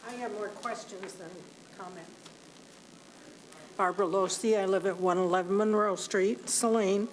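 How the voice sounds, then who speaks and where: A woman with a soft voice speaking at a podium microphone during public comment, halting and full of fillers. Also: medium pitch, fairly even intonation, crowd noise, rough recording. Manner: hesitant, halting with many fillers. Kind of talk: public comment at a city meeting. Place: city meeting chamber.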